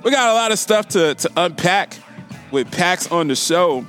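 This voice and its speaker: A man's voice: sits high, animated, normal speed, fairly bright tone, soft background music. A man hosting a casual talk radio show, often repeating and restarting phrases for emphasis.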